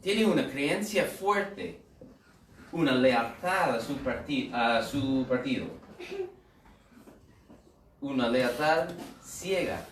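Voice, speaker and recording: A man's voice: mid-range voice, expressive, slow, long pauses, recorded from a distance, slight background noise. American man preaching in a loose, humorous way; echoey room, off-mic. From United States. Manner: humorous, conversational, with asides and rhetorical questions. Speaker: pastor. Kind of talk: sermon.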